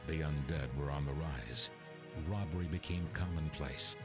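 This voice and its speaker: Man, very deep voice, solemn measured narration, loud music behind. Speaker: game intro narrator. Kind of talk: scripted epic narration.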